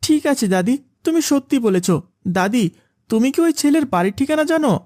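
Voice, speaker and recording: A woman's voice: high-pitched, expressive, fairly quick, darkish tone, no echo, clean studio sound. A woman voicing a proud, pampered young boy in a moral cartoon, with a childlike, self-assured manner. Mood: remorseful. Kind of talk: Islamic moral cartoon dialogue.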